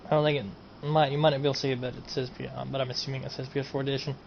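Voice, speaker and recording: A man's voice: mid-range voice, flat delivery, rapid-fire, vocal fry. A man streaming a casual game mod showcase, rambling and repeating himself as he trails off. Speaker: gaming streamer. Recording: dull lo-fi home recording, faint noise.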